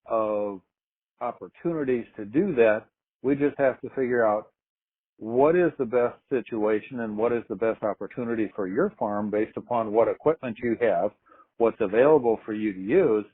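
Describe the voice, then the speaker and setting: A man's voice comes over a narrow, phone-like conference line. He speaks at an average pitch in an explanatory business style, measured and deliberate, often setting out points as questions. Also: husky. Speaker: agricultural marketing manager presenting on a conference call. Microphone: telephone conference call.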